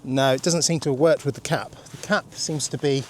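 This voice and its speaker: A middle-pitched man in his fifties talks through a cold, his voice throaty and a little husky. He rambles in an easygoing, chatty way and laughs often. He is recorded outdoors in the rain, with a light hiss of open-air ambience behind him. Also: steady tone, fairly quick. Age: 50s. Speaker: vlogger. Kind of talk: casual walking vlog. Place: outdoors on a rainy countryside walk.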